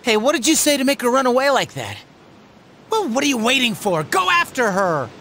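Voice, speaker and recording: Whiny teenage boy, very animated. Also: very high-pitched, brisk, a little hiss or hum, heavily acted. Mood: agitated. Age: young adult. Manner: whiny, exaggerated. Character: dramatic, excitable teenage boy, a slacker friend. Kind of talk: anime game voice acting. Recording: loud game music behind.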